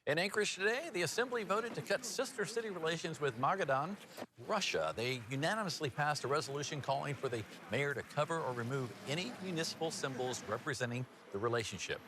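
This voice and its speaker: A man's voice: on the high side, lively intonation, quick pace, a crowd in the background. An American man anchoring a local TV newscast in an upbeat, chatty manner. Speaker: TV news anchor. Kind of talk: local TV newscast reading with casual banter.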